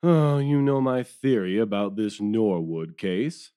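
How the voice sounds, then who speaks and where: A man plays a humbled police detective in an audio drama. The voice is low and mellow, with pitch that swings widely. He is wordy, deferential and rueful. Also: animated, slow, smooth. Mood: rueful, worried.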